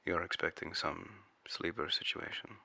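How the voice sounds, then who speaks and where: Casting: actor as serious male agency interrogator, deep and forceful, clipped official delivery, audio drama. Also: measured pace, vocal fry, no echo, exaggerated voice. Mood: measured.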